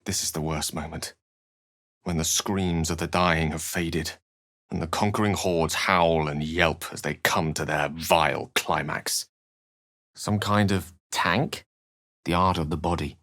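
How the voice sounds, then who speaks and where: Fantasy game wizard: low English voice, very dry sound, eloquent, measured and theatrical. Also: male voice, fairly even intonation, fairly bright tone, no room sound. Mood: dry, wry, then musing, intense. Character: learned, eloquent adult male wizard adventurer. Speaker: video game voice actor. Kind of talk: dramatic scripted game dialogue and narration.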